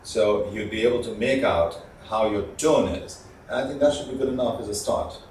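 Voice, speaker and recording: A man giving an instructional talk as a communication trainer, explaining with emphatic stressed words and pauses. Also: lowish voice, a little mellow. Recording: echoey room, distant mic, faint noise.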